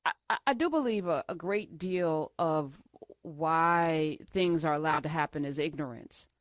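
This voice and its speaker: A woman's voice: sits low, unhurried, no room sound, clean studio sound. A woman hosting a talk show interview, asking impassioned, searching questions.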